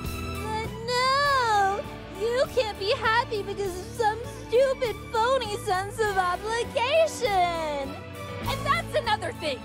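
A brash, drunk young woman acted out by a woman scolds in a high voice, blunt and scornful. It is a visual novel character, with music playing clearly behind. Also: expressive, slow, exaggerated voice, low-quality recording. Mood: angry, scolding. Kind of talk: dramatic visual novel read-aloud.

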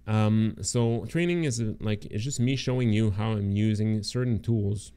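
Man in his thirties, low mellow tone, tired throaty voice, casual filler-heavy livestream pitch. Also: clean tone. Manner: rambling, many fillers and restarts. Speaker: agency owner presenting course bonuses. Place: home room indoors. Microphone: close studio mic in frame.

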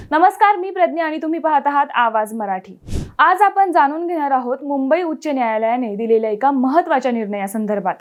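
A woman news presenter, reading a scripted news explainer in a clear, measured way. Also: sits high, darkish tone.

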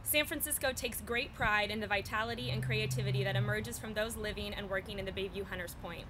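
A young woman, a spokesperson, reading a prepared letter aloud at an outdoor podium in a measured, formal way. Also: mid-range voice, steady tone, noisy background. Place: outdoor press event on a city plaza. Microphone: podium mics from a short distance.

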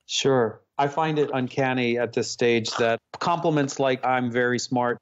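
A man's voice: mid-range voice, steady tone, measured pace, clean tone. Earnest man in a muffled interview. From Hong Kong. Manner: earnest, candid, searching for words. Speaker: podcast guest, psychotherapist and Buddhist teacher. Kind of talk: reflective personal storytelling.

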